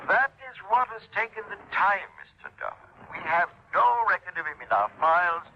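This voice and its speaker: A stiffly formal inspector. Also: male voice, sits high, sing-song, fairly quick. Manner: stiff, apologetic formal phrasing. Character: courteous, formal foreign chief inspector. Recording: phone call on an old radio broadcast, slight hiss.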